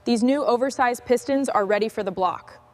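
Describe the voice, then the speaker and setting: High-pitched woman narrating restoration work. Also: normal speed, a little mellow. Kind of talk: scripted narration of a vehicle restoration.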